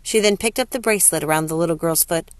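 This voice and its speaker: A woman's voice: fairly low pitch, fast talker, no echo. A woman narrating a novel aloud in scripted audiobook style. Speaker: narrator, story reader.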